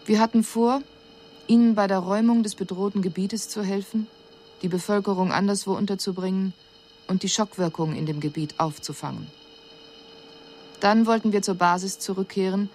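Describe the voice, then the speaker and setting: A woman acting as an extraterrestrial mission reporter, her voice a little on the low side. She delivers an official account soberly and exactly, in measured radio-drama style. Slight noisy ambience is audible underneath. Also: a little mellow, lightly acted. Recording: radio drama recording with audible background noise.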